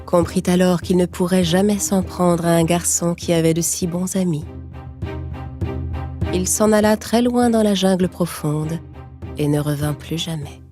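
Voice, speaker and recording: A woman storyteller reading a scripted children's tale in narration style. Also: lowish voice. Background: music.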